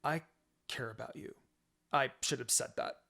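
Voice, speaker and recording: A narrator voicing a reserved, caring young male ninja in a quiet, restrained manner. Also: mid-range voice, normal speed, vocal fry, voice pushed down. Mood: quietly tender.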